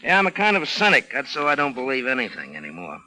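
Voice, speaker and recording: A cynical police lieutenant in an old 1940s radio crime drama. His voice is very bright and forceful, mid-pitched and animated, with a casual, needling suspicion. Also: quick pace, exaggerated voice, rough recording. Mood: wry, suspicious.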